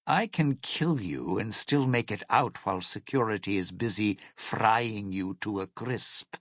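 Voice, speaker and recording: An actor voicing a scheming, bitter, sardonic crook in a video game, with a sly, theatrical delivery. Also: male voice, on the low side, a little slow, no room sound, clean studio sound. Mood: menacing, smug. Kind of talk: video game character dialogue.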